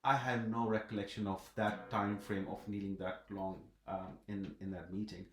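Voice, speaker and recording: A man from Pakistan talks as a podcast guest, telling personal stories in a conversational way. His voice is on the low side. The recording has the clear echo of a front room. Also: level tone, normal speed, a bit bright. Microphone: improvised iPhone setup.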